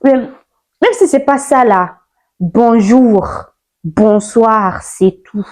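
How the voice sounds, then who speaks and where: A woman's voice: on the high side, very slow, a little mellow. Chatty young woman with a lilt. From Ivory Coast. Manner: chatty, playful, sassy, mixes slang. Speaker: YouTuber. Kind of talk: casual vlog chat.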